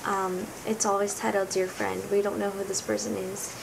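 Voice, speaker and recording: Raspy teenage girl reviewing a book. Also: flat delivery, normal speed. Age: teens. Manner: earnest, rambling, searching for words. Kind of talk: casual book review vlog. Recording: noticeable rumbling background noise.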